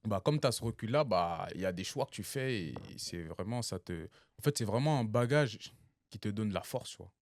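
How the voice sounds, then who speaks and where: A young man from Cameroon chatting casually among friends, telling animated stories full of slang and laughter. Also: lowish voice, fairly quick, no echo, studio quality. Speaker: guest. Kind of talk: casual chat.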